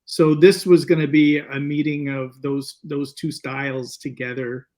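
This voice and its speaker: A man speaking as a filmmaker guest, telling stories casually in a meandering, halting way with repeated words. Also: on the high side, level tone, a little mellow. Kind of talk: casual interview storytelling.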